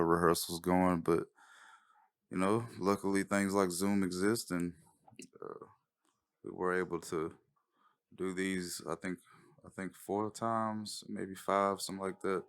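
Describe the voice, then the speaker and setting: A man giving a casual introduction as a writer-director, speaking in a hesitant, halting way. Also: sits low, level tone, smooth. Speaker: writer-director presenting a recording.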